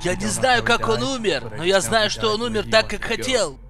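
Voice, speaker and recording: A man's voice: high voice, animated, normal speed, over music. A man dubbing a gamer, telling mock-solemn spooky tales with dry jokes. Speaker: voice-over dubber of a gaming group. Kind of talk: comic gaming chat dub.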